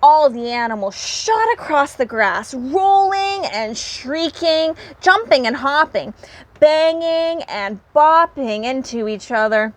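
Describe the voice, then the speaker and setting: A woman reading a children's story aloud in animated storybook narration. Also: high-pitched, slow.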